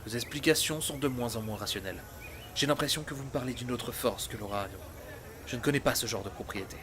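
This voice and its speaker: A man's voice: medium pitch, fast, background noise, close to an everyday voice. A man playing a sardonic, skeptical traveler from an advanced parallel world in a sci-fi fantasy audio drama, dry and condescending in manner. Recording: voice under loud background music.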